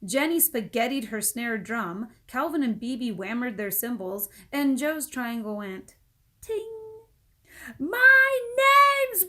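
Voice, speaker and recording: A woman reading a children's book aloud as an expressive storyteller. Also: fairly high pitch, a little slow. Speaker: storybook reader.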